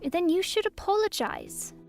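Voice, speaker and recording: A woman's voice: very high-pitched, huge pitch swings, measured pace, vocal fry, darkish tone, faint music behind, heavily acted. A woman playing a grave, blunt little girl in an audio drama, frank and reproachful.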